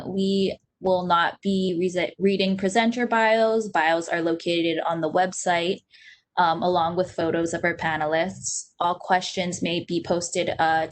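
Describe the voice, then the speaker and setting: A young woman from Hawaii opening an online panel as host, speaking earnestly and steadily with frequent ums, recorded on a laptop webcam during a video call. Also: on the low side. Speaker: event host. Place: small indoor room at home.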